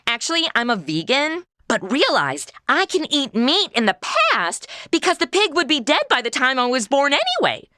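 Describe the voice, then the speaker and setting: A woman's voice: high voice, huge pitch swings, moderate pace, hardly any pauses, bright, forceful tone, dry recording, studio quality, heavily acted. A woman playing a sarcastic, wisecracking modern young woman in an old west comedy audio drama. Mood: wry. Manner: sarcastic, quippy. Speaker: title character.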